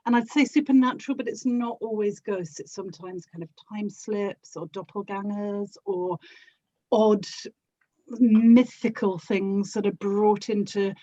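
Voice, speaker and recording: A woman's voice: mid-range voice, a little slow, mellow, highs cut off. A woman from South London with a South London accent, chatting casually as an interview guest. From South London, England. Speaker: interview guest, audio drama actor and producer.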